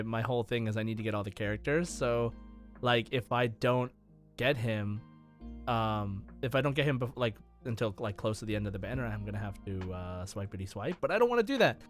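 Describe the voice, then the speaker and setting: Guy co-hosting a gaming podcast, rambly casual chat, bit of music in back. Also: mid-range voice.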